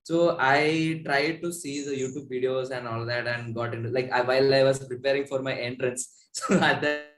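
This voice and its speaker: A man's voice: medium pitch, fairly even intonation, moderate pace, hardly any pauses, a bit bright, roomy sound, soft background music, poor audio. A young man with an Indian accent chatting casually as an interviewed musician, in a rambling way peppered with "like". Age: young adult. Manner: rambling, frequent "like".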